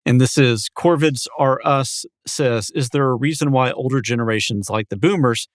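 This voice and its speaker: A man's voice: medium pitch, normal speed, no echo, studio quality. A man co-hosting a podcast, talking in a casual, conversational style with his partner. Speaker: podcast co-host.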